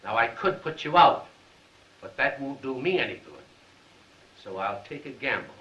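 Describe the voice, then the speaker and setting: An actor playing a stern, shrewd, suspicious hotel manager with a curt, measured delivery in a 1930s film. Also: male voice, mid-range voice, lively intonation, pushed and bright, roomy sound. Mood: stern, threatening. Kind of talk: 1930s film drama acting. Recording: noisy, muffled 1930s film soundtrack.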